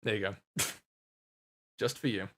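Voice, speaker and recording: A man hosting a livestream, chatting casually with viewers in an animated, joking, sweary way. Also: on the low side, slow pace, very noisy. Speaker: livestream host, voice actor. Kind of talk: casual chat with viewers, reading comments.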